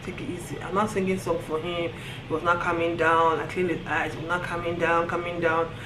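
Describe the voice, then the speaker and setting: A woman's voice: fairly low pitch, fairly quick, noisy background. Laughing Nigerian woman telling a story. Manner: animated storytelling, often laughing. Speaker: vlogger. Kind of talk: storytime vlog. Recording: roomy echo, faint ambience.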